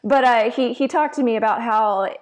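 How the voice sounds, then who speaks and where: A woman critic talking casually on a panel, pausing to find words and then stressing them. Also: on the high side. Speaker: panel guest, entertainment critic. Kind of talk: casual review discussion.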